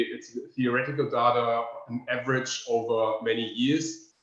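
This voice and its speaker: A man with a German accent, from Germany, presenting research findings in a webinar, pausing often with fillers. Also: mid-range voice. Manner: thoughtful, pausing with frequent fillers. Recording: roomy, muffled lo-fi sound.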